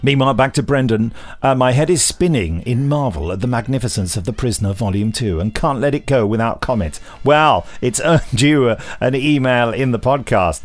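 Animated male podcast host, theatrical delivery. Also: mid-range voice, normal speed, hardly any pauses, soft background music. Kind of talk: reading listener email aloud with chatty asides.

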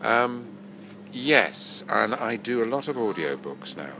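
An older actor and voice-over artist, nasal from a cold, reminiscing in a rambling, self-correcting way during an interview. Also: male voice, mid-range voice, very animated, takes it slowly, gravelly, background noise.

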